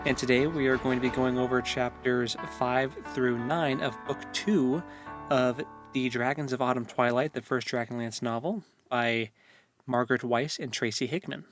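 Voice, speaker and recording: A man's voice: mid-range voice, fairly even intonation, normal speed, soft background music. A man co-hosting a podcast, discussing a book casually in loose, informal phrases. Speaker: podcast co-host.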